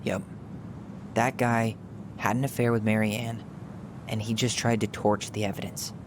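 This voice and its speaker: Young American male played by an actor, video game drama. Guarded, casual, halting delivery over clear ambient noise. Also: fairly low pitch, level tone, lightly acted. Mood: suspicious. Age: young adult. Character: young man, guarded, searching his troubled childhood memories.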